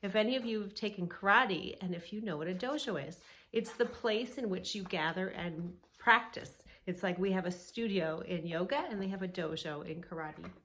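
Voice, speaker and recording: A woman's voice: fairly low pitch, moderate pace, few pauses, a bit bright. A woman hosting a children's storytime, narrating expressively and speaking directly to young listeners. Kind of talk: children's read-along storytelling with asides.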